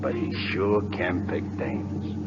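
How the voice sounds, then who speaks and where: A man's voice: low-pitched, flat delivery, slow pace, loud music behind the voice. A man playing a brash, good-hearted, slangy big league ballplayer in an old-time radio comedy. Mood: chummy, frank. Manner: streetwise slang, wisecracking. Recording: noisy muffled old radio broadcast recording.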